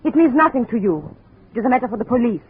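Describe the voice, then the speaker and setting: A woman's voice: on the high side, big pitch swings, fast talker. Haughty young woman, formal and curt. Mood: indignant. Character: haughty, ambitious young daughter, tired of poverty. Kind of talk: 1950s radio drama acting. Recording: muffled, noisy old radio recording.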